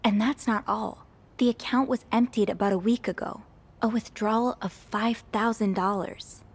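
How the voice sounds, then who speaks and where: An actress playing a nosy young hotel maid in a narrative game, polite and a little hesitant. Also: female voice, on the high side, steady tone, moderate pace, breathy edge. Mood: intrigued, conspiratorial. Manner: hesitant, polite, stammers when flustered.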